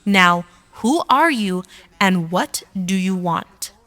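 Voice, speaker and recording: A woman playing a fierce, guarded woman in a radio drama, blunt and deliberate. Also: medium pitch, expressive, bright, forceful tone. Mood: menacing. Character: fierce, guarded, brooding woman ready to fight. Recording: long hall reverb.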